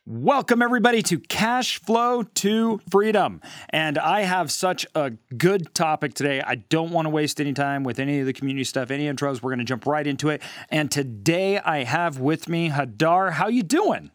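A man's voice: fairly high pitch, expressive, normal speed, dry recording. A man hosting a casual interview podcast in an informal, talkative way. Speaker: podcast host.

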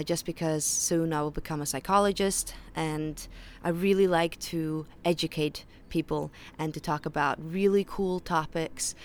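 A woman's voice: sits low, slight background noise. A young woman with a Faroese accent, a native Faroese speaker, hosting a podcast in a casual, earnest monologue full of small restarts. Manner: earnest and conversational, with frequent restarts. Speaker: podcast host. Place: outdoor home balcony. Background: birds and distant ships.

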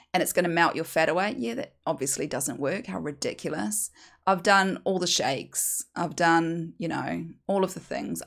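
A woman in her forties from New Zealand with a New Zealand accent, hosting a podcast and telling her own story in a candid, chatty, self-mocking way. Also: on the low side. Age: 40s. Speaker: podcast host, hypnotherapist. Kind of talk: personal storytelling monologue.

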